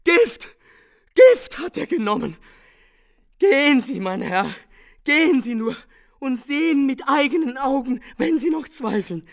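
An actress playing a distraught, chattering servant in a radio drama, agitated, breathless and stammering. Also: female voice, sits high, animated, unhurried. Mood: horrified, frantic.

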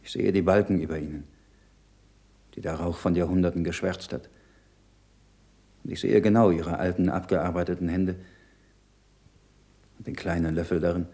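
An actor playing an earnest, troubled learned monk in a radio play, speaking in a measured, solemn, rhetorical way. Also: male voice, low-pitched, little pitch movement, warm tone, slightly rough. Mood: quiet, reflective. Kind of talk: German radio play acting.

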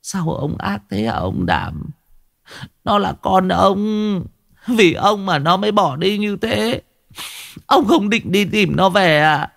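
A man's voice: high-pitched, sing-song, takes it slowly, creaky, a bit bright, no room sound, clean studio sound, voice pushed up, heavily acted. A man voicing a distraught elderly mother and timid wife, pleading and stammering tearfully in a dramatic audio story. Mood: tearful, anguished.

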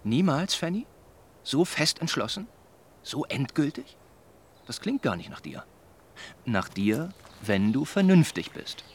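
An actor playing an earnest, well-meaning young gentleman in a German radio drama, speaking in a measured, formal, persuading way. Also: mid-range voice, sing-song, a little hiss or hum. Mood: taken aback.

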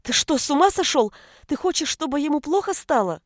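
A woman's voice: medium pitch, moderate pace, a little mellow, no echo, studio quality, lightly acted. A woman voicing a strained, protective mother of small children in an audiobook. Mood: outraged. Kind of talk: audiobook character voice.

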